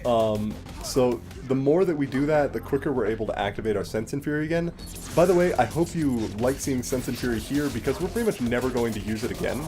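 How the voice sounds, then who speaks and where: A man's voice: medium pitch, expressive, quick pace, music in the background. A man giving casual, explanatory game commentary as a let's play host, swearing casually now and then.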